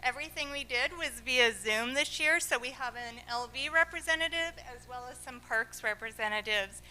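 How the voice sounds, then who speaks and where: A woman teacher presenting students at a board meeting, projecting her voice loudly and upbeat. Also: a little high, normal speed, poor audio. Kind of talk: ceremony presentation. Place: school board meeting room.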